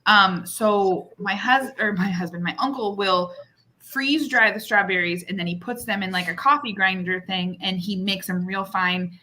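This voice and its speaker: Woman, thirties, very bright voice, chatty and jokey, casual live chat co-host, animated delivery. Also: mid-range voice, normal speed. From lives in North America near the Canadian border. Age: 30s.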